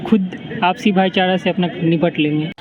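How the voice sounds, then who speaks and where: Male interviewee, high pitch, brief answers, tinny noisy street recording. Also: fairly even intonation, normal speed. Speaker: member of the public being interviewed. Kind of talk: brief spontaneous opinion. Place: outdoors on a Patna street. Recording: thin phone-like sound, street noise.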